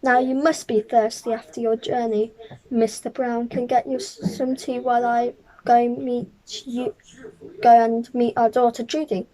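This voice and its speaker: A woman's voice: on the high side, flat delivery, a little slow, warm tone, natural voice, rough recording. A woman voicing a kindly, decisive wife and mother who exclaims her lines in a children's storybook.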